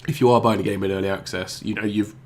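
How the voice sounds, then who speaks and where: A man's voice: fairly low pitch, fairly even intonation, fast, a little mellow. A man with a blocked nose from a cold, hosting a casual gaming vlog, rambling and hesitant with many ums and restarts. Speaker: YouTube gaming channel host.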